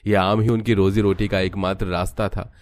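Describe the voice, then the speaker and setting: A man narrating an animated family story in a steady, even storytelling delivery. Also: low-pitched, brisk. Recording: music under the voice.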